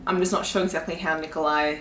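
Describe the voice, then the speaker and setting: A young adult woman chatting casually about books in an expressive, informal way. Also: low voice, fast talker, a loud crowd. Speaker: book vlogger. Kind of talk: casual chat. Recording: echoey room, audible background noise.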